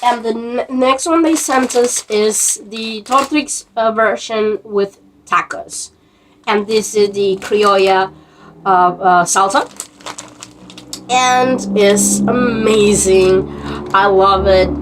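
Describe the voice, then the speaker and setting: A woman in her twenties from Peru, rambling casually in a vlog, halting with many fillers and restarts. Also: medium pitch, fairly even intonation, slight background noise, low-quality recording. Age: 20s. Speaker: vlogger.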